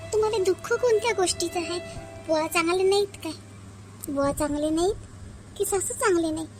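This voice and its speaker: A woman's voice: extremely high pitch, darkish tone. A woman voicing a village sister-in-law in a family cartoon, with a rural Vidarbha Marathi accent and an emphatic, plaintive, repetitive manner. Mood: concerned, indignant. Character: two village sisters-in-law, aggrieved young wife and protective visitor. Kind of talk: melodramatic cartoon family dialogue.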